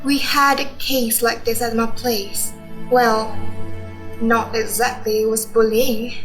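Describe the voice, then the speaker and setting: Woman acting insistent reproachful wife in radio play, high slow voice, bit of music. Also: flat delivery, fairly bright tone. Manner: earnest, insistent. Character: reproachful, probing wife of a suspended man.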